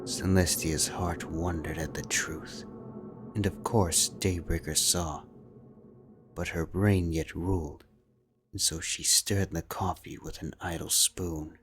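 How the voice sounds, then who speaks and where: A man narrating a fan-fiction audiobook in a measured, steady storytelling manner. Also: low voice, faint music behind.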